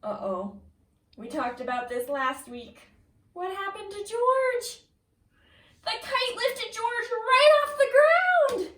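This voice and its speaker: Very high, animated woman reading to kids. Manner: expressive, exaggerated, pausing to ask young listeners questions. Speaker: kindergarten teacher reading aloud. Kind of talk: animated children's picture-book read-aloud with questions to listeners. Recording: roomy echo, distant from the mic.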